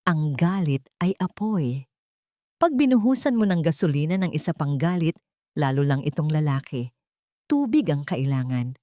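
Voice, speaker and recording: A woman with a Filipino accent narrating a dramatic story as an audiobook, phrasing it deliberately in short, suspenseful beats. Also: low voice, expressive, mellow, dry recording, studio quality.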